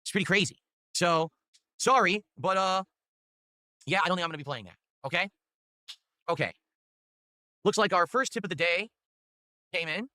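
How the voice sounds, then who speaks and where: Man, streamer host, casual chat, high-ish voice, upbeat pitching. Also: lively intonation, normal speed, pauses often, pushed and bright, no echo. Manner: upbeat hype pitching for tips.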